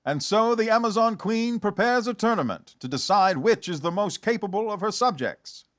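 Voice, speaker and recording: High-pitched, animated radio serial narrator man. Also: normal speed, faint music behind. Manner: theatrical, suspenseful. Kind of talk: 1940s radio serial narration, dramatic and scripted.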